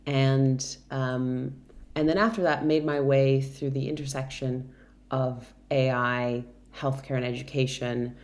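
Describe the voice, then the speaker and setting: A woman in her thirties with an American accent, originally from Brazil, speaks in a notably deep, low register. She answers interview questions at length, thinking aloud with frequent ums and small restarts. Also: fairly even intonation, measured pace, a little mellow. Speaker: guest, product director.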